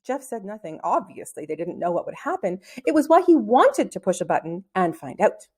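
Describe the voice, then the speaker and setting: Animated woman reading a story aloud. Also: medium pitch, brisk, breathy edge. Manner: animated, acting out the dialogue. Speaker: reader of a children's book. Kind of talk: expressive read-aloud storytelling.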